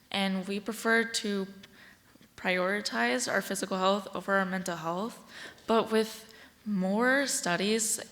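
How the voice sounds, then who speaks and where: A woman's voice: mid-range voice, fairly bright tone. Teenage American girl speaking in an echoey hall. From Washington State, United States. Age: teens, about sixteen. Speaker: youth advocate and speaker. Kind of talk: prepared public speech. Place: large indoor hall, speaking from a stage. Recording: echoey hall with long reverb.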